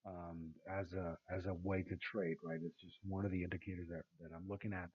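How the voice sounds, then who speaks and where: A man giving casual chart analysis with a raspy, tired voice, rambling with many fillers and restarts. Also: sits low, flat delivery, fairly quick. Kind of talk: casual screen-share market analysis. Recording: tinny phone-like sound.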